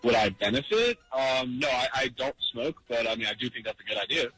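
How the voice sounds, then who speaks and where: A man's voice: medium pitch, lively intonation, a little fast, pushed and bright, slightly rough, faint music behind. A man answering a phone call, polite and easygoing, with some hesitation. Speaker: prank call target. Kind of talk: phone conversation.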